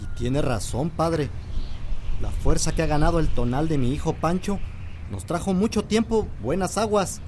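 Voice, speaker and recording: A man's voice: fairly high pitch, lively intonation, normal speed, darkish tone, slight background noise, close to an everyday voice. An actor playing a worried village father in a radio drama, speaking in an earnest, pleading way. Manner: pleading, earnest, rises to urgent. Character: Don Gabriel, worried older village man, father.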